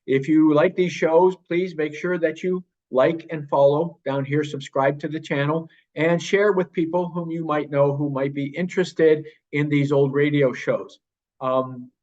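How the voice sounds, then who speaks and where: A man's voice: fairly high pitch, a little mellow. A man introducing a show as its host, addressing listeners directly. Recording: slightly dull, lo-fi voice recording.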